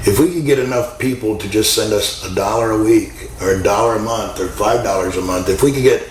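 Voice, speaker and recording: Older male guest, folksy and self-mocking, lowish voice, echoey room with background noise. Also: brisk. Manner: folksy, self-deprecating joker. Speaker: food pantry organizer, guest. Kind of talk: casual chat.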